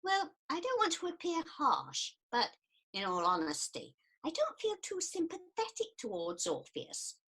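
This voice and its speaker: A woman's voice: sits high, wildly swooping pitch, unhurried, a bit bright, full character voice. A woman playing an earnest, prim and easily offended counsellor in a radio comedy set in ancient Greece. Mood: matter-of-fact. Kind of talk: radio play acting.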